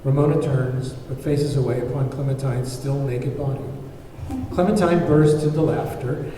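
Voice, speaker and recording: Mellow male narrator in a very echoey hall. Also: medium pitch, fairly even intonation, normal speed. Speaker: narrator reading stage directions. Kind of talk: scripted screenplay narration at table read. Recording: very echoey hall, far mic, faint noise.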